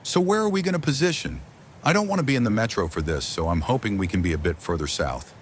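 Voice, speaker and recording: A man's voice: on the low side, animated, fast, natural voice. A man playing an impatient, sulky storm chaser in casual amateur drama dialogue. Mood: casual, eager. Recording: very noisy outdoor vehicle ambience.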